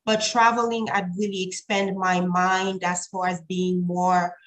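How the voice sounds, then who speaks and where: A woman, originally from Haiti, reflects on her experiences in a casual chat. She speaks thoughtfully, pausing and repeating words as she gathers her ideas. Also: lowish voice, level tone, fairly bright tone. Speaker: co-host. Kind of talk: casual talk show chat.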